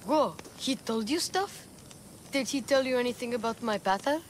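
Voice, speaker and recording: A woman playing an eager, cheeky little boy in a video game, excitable and quick to interrupt. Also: on the high side, natural voice. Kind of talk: video game cutscene acting. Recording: game audio with faint background noise.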